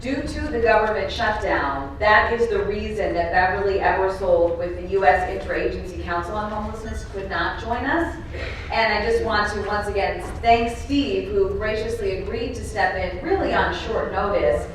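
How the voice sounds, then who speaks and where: A woman's voice: mid-range voice, moderate pace, slight background noise. A woman acting as emcee at a conference, speaking upbeat and direct to the room and thanking people. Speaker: event emcee. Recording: echoey hall, voice heard from far off.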